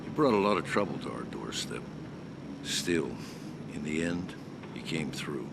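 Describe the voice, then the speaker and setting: An actor playing a gruff, bitter leader of a group of survivors in a video game, with a scornful, drawn-out delivery. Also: male voice, very deep voice, expressive, heavy background noise, heavily acted. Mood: stern, scornful. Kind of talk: video game cutscene acting. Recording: loud music behind the voice.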